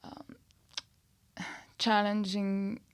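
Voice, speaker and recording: A woman, answering casually as an interview guest in measured, hesitant phrases. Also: medium pitch, monotone, smooth. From Bulgaria. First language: Bulgarian. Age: 30s. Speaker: podcast guest, nutritionist and online coach.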